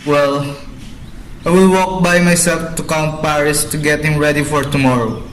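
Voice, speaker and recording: A man plays a commanding, blustering father in an amateur radio drama. His voice sits a little high for a man and he declaims his orders. There is faint noise behind him. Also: steady tone, moderate pace, lightly acted, low-quality recording. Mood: pleased. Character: bossy, blustering middle-aged father, head of household. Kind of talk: student radio drama acting. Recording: amateur recording with background noise.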